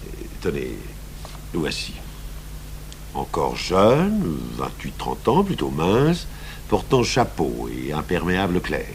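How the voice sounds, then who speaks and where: Thoughtful stubborn police commissioner in a radio play, low voice that moves around a lot, hesitant, hummy old tape. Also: male voice, wildly swooping pitch, full character voice. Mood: measured, persuasive. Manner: hesitant, deliberate, pausing mid-thought. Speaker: actor playing a police commissioner. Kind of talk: French radio crime drama acting. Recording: noisy 1980s radio recording with hum.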